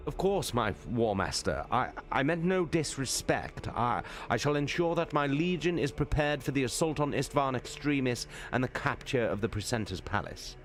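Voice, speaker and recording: A man's voice: medium pitch, animated, moderate pace, hardly any pauses, slight background noise, voice pushed up, lightly acted. A man voicing a proud officer who is flustered and deferential, stammering, in a dramatic book reading. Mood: flustered, placating. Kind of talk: dramatic audiobook character reading.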